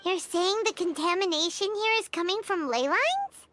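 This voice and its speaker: A woman voicing a tiny floating childlike female companion in a video game, with a high, squeaky voice and a chatty, curious manner. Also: lively intonation, normal speed, a bit bright, a little hiss or hum. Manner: wide-eyed, exclaiming, chatty. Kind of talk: video game cutscene dialogue.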